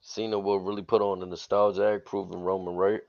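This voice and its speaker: A man co-hosting a casual wrestling talk stream, his voice a bit hoarse and raspy from yelling, with relaxed slangy banter. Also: low voice, fairly quick, no room sound. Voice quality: slightly raspy. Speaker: wrestling show co-host. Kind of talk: casual livestream chat. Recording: dull dry lo-fi stream audio.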